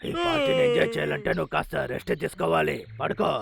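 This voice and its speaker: A man voicing a blunt, wary male bear in a children's cartoon, with a gravelly voice and animated delivery. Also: fairly high pitch, fast talker, heavily acted. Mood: calm. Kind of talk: dubbed children's cartoon acting.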